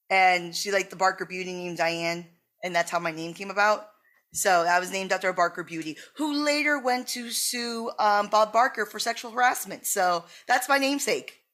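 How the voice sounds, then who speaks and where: A woman's voice: mid-range voice, moderate pace, fairly bright tone. A woman comedian from Miami, talking casually as a podcast guest, brash and joking with plenty of swearing. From Miami, USA. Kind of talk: casual chat.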